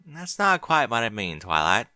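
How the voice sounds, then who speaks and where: A man's voice: medium pitch, sing-song, normal speed, sharp tone, no room sound, studio quality. A man voicing an earnest young farm pony mare in a fan fiction audiobook, talking in a plain, folksy way. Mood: hesitant.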